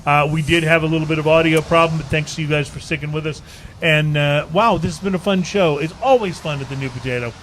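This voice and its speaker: A man hosts a casual talk show and keeps things moving with friendly agreement. His voice is a touch high, and faint background ambience sits behind him. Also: fast, a bit bright, slight background noise. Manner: affable, frequent agreeing interjections. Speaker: podcast host. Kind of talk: casual chat. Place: bar, live show.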